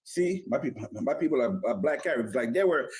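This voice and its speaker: A man from Dominica in a casual group debate, speaking haltingly, repeating words and restarting his sentences. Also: mid-range voice, lively intonation, darkish tone, slightly rough.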